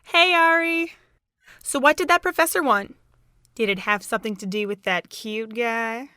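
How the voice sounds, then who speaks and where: A woman's voice: fairly high pitch, normal speed, sharp tone, smooth, dry recording, clean studio sound. A young woman playing a chirpy, caring and nosy college student in an amateur audio drama. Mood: curious, upbeat.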